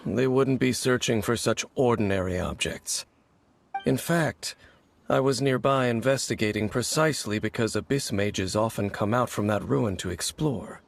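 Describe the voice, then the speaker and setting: An actor playing a mysterious, reserved warrior in a video game, grave and gravelly, speaking in a solemn, formal, measured way. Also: male voice. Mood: grave, analytical. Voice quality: low, gravelly. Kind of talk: dramatic video game character acting. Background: background music.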